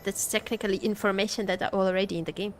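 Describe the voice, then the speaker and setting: A woman's voice: medium pitch, fairly even intonation, quick pace, faint music behind. A woman chatting casually as she livestreams a game, playfully repeating her phrases to viewers.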